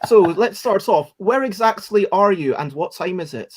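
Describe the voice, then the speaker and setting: A man's voice: high-pitched, lively intonation, moderate pace, breathy edge. A man hosting a casual chat show, speaking in a rambling, encouraging way and often correcting himself as he goes. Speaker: host of a daily livestream chat show.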